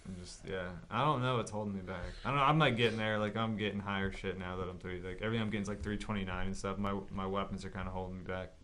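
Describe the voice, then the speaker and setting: A man in his late twenties with a fairly low voice co-hosts a casual gaming podcast. He talks like he is hanging out with a friend, rambling, doubling back on phrases and swearing mildly. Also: steady tone, very fast, hardly any pauses, fairly bright tone. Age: late 20s. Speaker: podcast co-host. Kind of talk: casual chat between friends about games.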